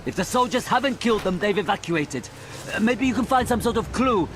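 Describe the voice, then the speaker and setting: Cowardly gambler, high-pitched, pleading, nervous, video game character, noisy ambience. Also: male voice, expressive, fairly quick. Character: frightened cowardly gambler begging for his life.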